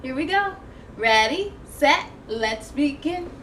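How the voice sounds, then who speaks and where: Woman, high-pitched, storybook narration, expressive, playful, addressing children. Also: slow pace, a little hiss or hum. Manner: expressive, playful, addresses young listeners directly. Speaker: host of children's read-aloud show. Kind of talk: animated storybook read-aloud for children.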